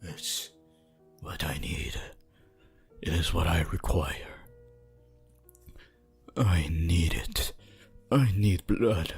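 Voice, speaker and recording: A man playing a weakened, bloodthirsty vampire in an ASMR roleplay, pleading and menacing in a theatrical, archaic way, with a husky voice that often drops to a whisper. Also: deep voice, faint music behind, full character voice. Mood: weak pleading. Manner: slow, halting, archaic and dramatic delivery.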